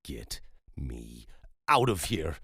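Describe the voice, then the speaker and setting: A man performs a badly hurt hunter in a horror audiobook, husky and breathless. He is stubborn and furious, forcing out halting, defiant words in a close, dry sound. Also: very deep voice, big pitch swings, pushed and bright, no echo, exaggerated voice. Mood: urgent. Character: bloodied, half-blind, stubborn, furious injured hunter man.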